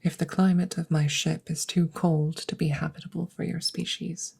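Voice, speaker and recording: A woman playing a detached, formal alien scientist in an ASMR audio roleplay, speaking in a measured, breathy way that slips into whispers. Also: lowish voice, level tone, darkish tone, heavily acted. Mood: calm, politely concerned.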